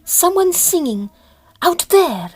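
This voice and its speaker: Period audio drama: woman playing proper, worried lady of an opera troupe, high voice, refined old-fashioned phrasing. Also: very animated, slow, darkish tone, full character voice. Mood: uneasy.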